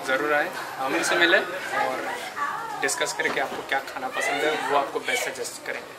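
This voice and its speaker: A man's voice: medium pitch, fairly even intonation, normal speed, a loud crowd. A man in his thirties giving a chatty, upbeat vlog walkthrough, talking straight to viewers from a camera held at arm's length outdoors. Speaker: food vlogger. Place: outdoor rooftop terrace and parked car. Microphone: handheld camera mic at arm's length. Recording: noisy outdoor camera audio.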